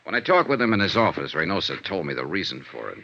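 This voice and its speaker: A wry American radio detective with a hard, forceful edge to his voice. He asks clipped, probing questions and swings freely in intonation. The sound is a dull, hissy vintage broadcast recording. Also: low-pitched, very animated, quick pace, creaky, full character voice. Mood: brisk narrating. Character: wry, probing male insurance investigator. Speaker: lead actor in a radio detective serial.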